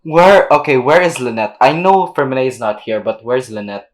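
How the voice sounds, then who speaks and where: A woman's voice: a bass voice, lively intonation, moderate pace. A woman reacting casually to a video game, slangy and sweary with an exasperated manner. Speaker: game streamer. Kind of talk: casual reaction commentary over gameplay.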